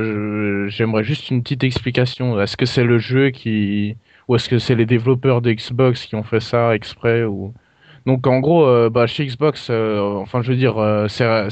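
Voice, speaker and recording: A man making a prank call to customer support as a customer, speaking in a halting, hesitant way with puzzled offence. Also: mid-range voice, a little mellow, no room sound. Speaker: prank caller posing as a customer. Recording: dull lo-fi call recording, dry.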